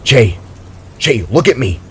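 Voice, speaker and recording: A man's voice: mid-range voice, sing-song, slow, slight background noise. A man voicing an anxious, protective animal father who speaks urgently and stumbles on words in a story. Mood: demanding, worried. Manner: urgent, stammering.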